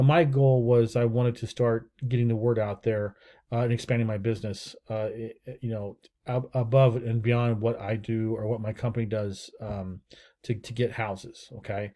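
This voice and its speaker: A man's voice: medium pitch, mellow. A middle-aged American man talking casually to camera about his daily routine, rambling with many fillers and restarts. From United States. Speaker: real estate entrepreneur and channel host. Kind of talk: casual unscripted talking-head video.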